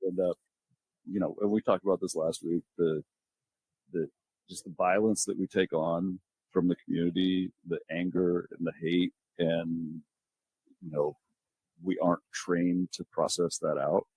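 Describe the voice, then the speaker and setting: Deep-voiced male nurse podcast co-host. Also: level tone, warm tone, breathy edge, no echo, poor audio. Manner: halting, restarts mid-sentence when explaining. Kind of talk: casual podcast conversation.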